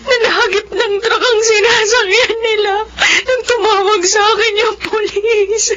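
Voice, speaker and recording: A woman's voice: very high voice, steady tone, unhurried, highs cut off, a crowd in the background. A woman playing a grieving orphaned young woman. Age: young adult. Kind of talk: radio drama acting.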